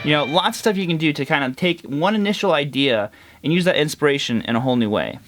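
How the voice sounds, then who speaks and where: A man's voice: a little high, expressive, fast talker. Congested man teaching guitar licks. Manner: congested, nasal; explains while playing, counts fret numbers. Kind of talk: casual guitar lesson.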